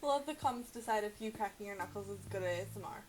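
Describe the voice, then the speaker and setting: A woman's voice: medium pitch, racing, darkish tone. A young adult woman chatting casually as a co-host, with dry, mock-exasperated banter. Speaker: co-host and script writer. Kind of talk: casual chat.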